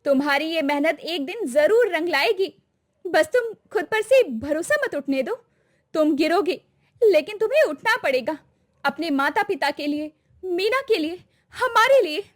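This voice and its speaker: A woman's voice: very high-pitched, animated, unhurried. A woman voicing a fierce, loyal young girlfriend in a cartoon story, emphatic and encouraging. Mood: firm encouragement.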